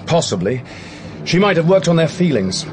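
Radio drama: earnest English doctor, clipped and defensive, very varied pitch, noisy backdrop. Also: male voice, very animated, normal speed.

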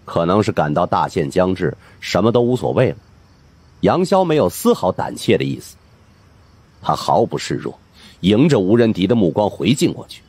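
A man with a husky voice narrating a supernatural mystery audiobook in a dramatic scripted style. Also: low voice, big pitch swings, moderate pace, a little mellow, no room sound.

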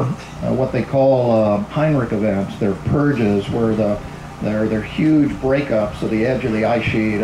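A man on a discussion panel, explaining science to an audience in a measured way with pauses mid-sentence. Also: mid-range voice. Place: large open-sided tent outdoors. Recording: echoey, noisy outdoor event tent.

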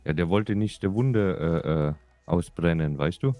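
A man chatting in character in an online roleplay game, speaking in a halting, muddled way over voice chat. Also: sits low, expressive, warm tone, no echo, soft background music.

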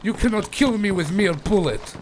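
A crude, bragging Russian squad leader, played by a man over game chat barks orders in broken English with a thick put-on Russian accent. The voice sits high for a man. A faint hiss runs under the headset mic. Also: expressive, moderate pace. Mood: mocking. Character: crude boastful Russian male squad commander.

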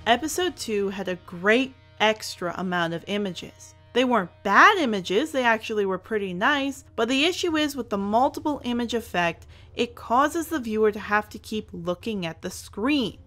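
A woman's voice: fairly high pitch, lively intonation, moderate pace, hardly any pauses, fairly bright tone. A woman hosting a scripted review, speaking emphatically and stretching out key words. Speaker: reviewer, show host. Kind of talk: scripted review commentary over animation. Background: music.

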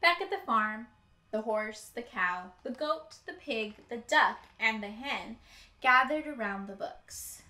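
A young woman reads a children's story in an emphatic, expressive teacher's way. Her voice is bright and unhurried. The room adds some echo around her. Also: on the high side. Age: young adult. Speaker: teacher reading aloud to children. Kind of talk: expressive picture-book read-aloud. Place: indoor room with bookshelves. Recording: roomy indoor echo.

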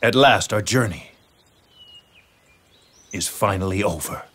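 A man's voice: lowish voice, slow, fairly bright tone. A man acting a noble general in a video game, speaking solemnly and reverently.

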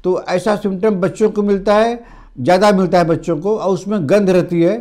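A man's voice: high-pitched, fairly even intonation, brisk, warm tone. An older man from India, a homeopathy doctor, explaining remedies straight to camera in a plain, repetitive teaching manner, recorded on a lapel mic in a studio. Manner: addresses viewers as friends, repetitive explanatory phrasing. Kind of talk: direct-to-camera health lesson. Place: indoor studio before a green screen.

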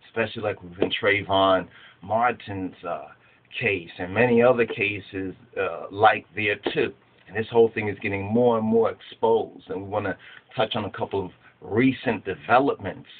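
A man in his forties teaching scripture from a small room at home, rambling and conversational with plenty of restarts. His voice sits fairly low, and the webcam audio is thin and tinny like a phone line. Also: breathy edge. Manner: conversational, many fillers and restarts, holds books up to camera. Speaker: religious teacher. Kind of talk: informal teaching video. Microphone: webcam close-up.

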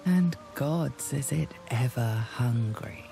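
An actress playing a grave, ominous storyteller, giving deliberate dramatic narration for a fantasy video game. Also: female voice, very deep voice, lively intonation, vocal fry, mellow, faint music behind, exaggerated voice. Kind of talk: dramatic second-person scripted narration.

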